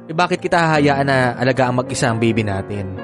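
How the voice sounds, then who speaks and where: Man acting a flamboyant, animated doting dad. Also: mid-range voice, fast talker, music in the background, close to an everyday voice. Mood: playful, assured. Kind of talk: Filipino radio drama acting.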